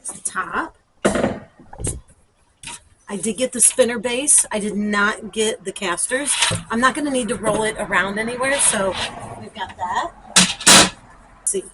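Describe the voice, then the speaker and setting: A woman's voice: mid-range voice, measured pace, pauses often, heavy background noise. A woman chatting casually and thinking aloud as she demonstrates for a garden vlog. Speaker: garden vlogger.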